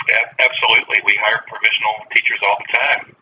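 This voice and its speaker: A man speaking as a human resources official on a phone call, halting and careful, with polite phrasing. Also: fairly low pitch, little pitch movement, sharp tone. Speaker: school human resources director. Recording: distant, dull, noisy phone line.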